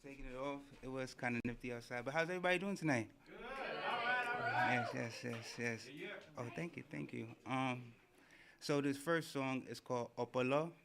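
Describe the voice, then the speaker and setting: A man whose first language is Yoruba, speaking softly and hesitantly on stage before singing. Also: medium pitch, normal speed, vocal fry, over a noisy crowd. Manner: soft-spoken, hesitant between songs. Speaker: singer performer. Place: small performance venue, indoors.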